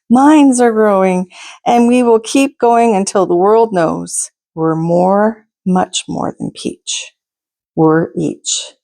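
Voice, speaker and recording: Slow, mellow woman reading a children's storybook. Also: mid-range voice, vocal fry. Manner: deliberate, expressive, pauses to ask listeners questions. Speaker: storytime host reading a picture book. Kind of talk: read-aloud storytelling for children, with asides to listeners.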